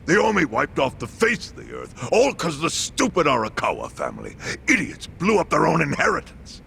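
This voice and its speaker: An actor playing an aggrieved, foul-mouthed yakuza subordinate in a dramatic video game scene. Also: male voice, a little high, wildly swooping pitch, brisk, gravelly, pushed and bright, a little hiss or hum, full character voice. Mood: bitter, indignant. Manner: profane, indignant. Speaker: yakuza gangster. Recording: music behind the voice.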